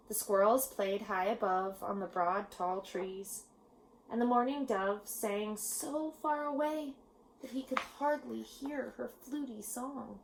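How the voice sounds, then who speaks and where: Slow-paced woman reading a children's story. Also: medium pitch, slight background noise, poor audio. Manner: measured, expressive storytelling with pauses. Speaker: storybook reader. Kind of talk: children's read-aloud narration.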